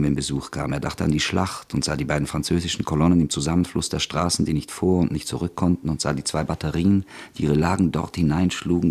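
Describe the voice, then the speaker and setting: A man narrating a radio drama in a measured, even manner. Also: very deep voice. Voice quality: husky, gravelly.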